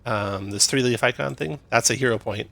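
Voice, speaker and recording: A man acting as game master, narrating a tabletop game in a relaxed, explaining way with fillers. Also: medium pitch, moderate pace, vocal fry.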